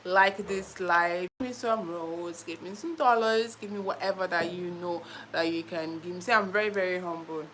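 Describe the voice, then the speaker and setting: A woman's voice: lowish voice, moderate pace, hardly any pauses, fairly bright tone, a little hiss or hum. A young Nigerian woman with a Nigerian accent hosts a casual live stream, chatting playfully, reading viewer comments and laughing often.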